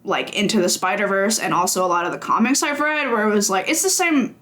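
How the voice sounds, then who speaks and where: A young woman chatting as a guest on a podcast. She is animated and talkative, often laughing and playing out her own reactions, in a voice of middle pitch. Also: fairly quick. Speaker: games journalist guest. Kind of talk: casual podcast interview.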